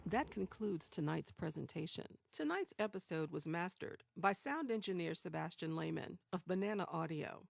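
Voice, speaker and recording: Creaky-voiced woman announcer, close and dry. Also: lowish voice, dry recording. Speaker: show host and announcer. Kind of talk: scripted sign-off with informal asides.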